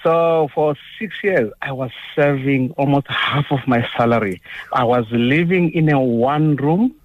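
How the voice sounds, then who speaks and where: A man's voice: mid-range voice, unhurried, no echo. Male caller, dull lo-fi line, emphatic. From Zimbabwe. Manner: emphatic, asks for agreement with "right?". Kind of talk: phone-in call.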